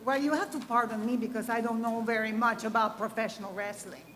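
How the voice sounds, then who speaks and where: A woman voices a Cuban journalism professor in her fifties, high-pitched, formal and politely dry. The sound carries a long hall reverb. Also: fairly even intonation, brisk, noisy background, close to an everyday voice. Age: 50s. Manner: formal, polite, dryly teasing.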